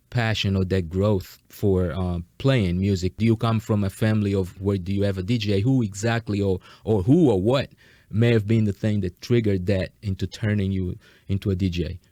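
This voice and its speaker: A man's voice: on the low side, normal speed, mellow, no echo, studio quality. A man hosting a conversational interview, wordy and rephrasing his questions as he goes. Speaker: interviewer, host.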